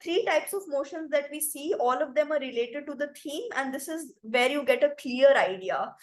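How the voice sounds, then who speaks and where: A woman's voice: high voice, animated, moderate pace. A young woman with an Indian English accent, running an online debate training session and explaining motions with lots of "like" and "you know". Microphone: video call.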